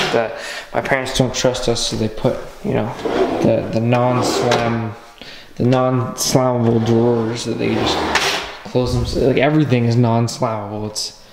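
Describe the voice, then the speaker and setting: A man's voice: medium pitch, a little hiss or hum. Teen guy vlogging a house tour, handheld camera, echoey bare rooms. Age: teens. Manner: rambling, excited, lots of "like" and "um". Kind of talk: casual house-tour vlog. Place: car, then empty house indoors. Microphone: handheld wide-angle camera at arm's length.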